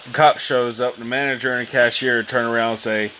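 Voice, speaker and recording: A man's voice: mid-range voice, steady tone, brisk, bright, forceful tone, slight background noise. Joking man in his thirties. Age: 30s. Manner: joking, storytelling banter. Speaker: channel host. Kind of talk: casual livestream chat.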